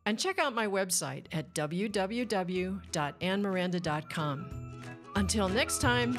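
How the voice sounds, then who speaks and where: Woman storybook reader with lively intonation. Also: medium pitch, a little slow, soft background music. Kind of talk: children's read-aloud sign-off.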